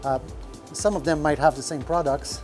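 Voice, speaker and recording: Man talking shop, halting. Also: a little high, fairly even intonation, darkish tone, faint music behind. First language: French. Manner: rambling, many fillers, searches for words. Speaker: restaurant owner. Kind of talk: casual podcast talk. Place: restaurant kitchen.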